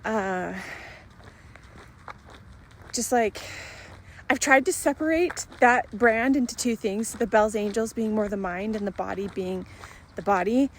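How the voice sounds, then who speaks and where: A woman's voice: medium pitch, unhurried, pauses often, a little hiss or hum. A middle-aged woman chatting casually and in a rambling, giggly way as she films a vlog. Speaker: vlogger. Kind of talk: casual chat to camera.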